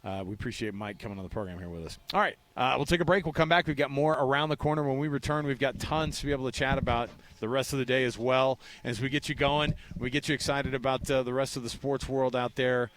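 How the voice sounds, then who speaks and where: American male sports radio host, medium pitch, casual and chatty delivery. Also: fast talker, hardly any pauses, fairly bright tone, a little hiss or hum, poor audio.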